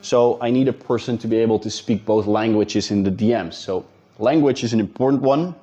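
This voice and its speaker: Dutch-accented male coach, informal straight talk, warm-dark voice, a little lo-fi. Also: on the low side, fairly quick. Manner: direct, informal, addresses viewers as guys. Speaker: business coach. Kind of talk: casual talking-head advice video.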